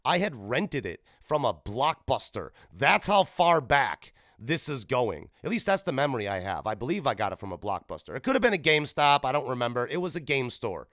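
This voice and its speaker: A man's voice: medium pitch, sing-song, brisk, few pauses, a bit bright, no echo, studio quality. A man in his thirties hosting a solo gaming podcast, rambling casually and correcting himself as he goes. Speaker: podcast host. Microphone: podcast microphone.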